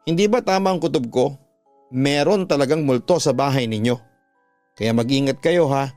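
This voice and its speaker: A man's voice: mid-range voice, moderate pace, darkish tone, no echo, faint music behind, close to an everyday voice. A man with a Filipino accent voicing an uneasy schoolgirl who earnestly warns a friend, in a radio drama. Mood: worried warning. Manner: earnest, cautioning. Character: uneasy schoolgirl warning her friend of ghosts.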